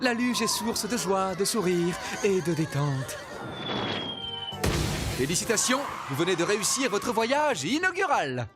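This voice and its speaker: Pedantic cartoon instructor, formal diction, easily flustered, swooping intonation, loud music underneath. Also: medium pitch, very animated, measured pace. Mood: prim, soothing. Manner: formal, precise, theatrical. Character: prim, pedantic how-to narrator who gets flustered and amazed. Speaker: narrator of instructional cartoon shorts. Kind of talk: scripted mock-instructional narration.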